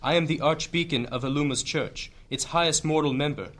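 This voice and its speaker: An actor playing a grave, earnest church leader, speaking in measured, oratorical fashion in a fantasy audio drama. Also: male voice, fairly high pitch, a bit bright, natural voice. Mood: solemn confiding. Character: solemn male high church leader, earnest and weighty.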